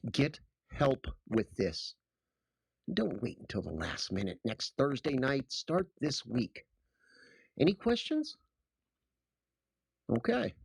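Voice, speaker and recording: A man's voice: medium pitch, gravelly, slightly rough, no room sound, heavily acted. Audio drama teacher: male actor, sardonic and emphatic, wide-swinging intonation, close dry recording. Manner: dry sarcasm, emphatic instructing. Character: sardonic American high school history teacher, adult man.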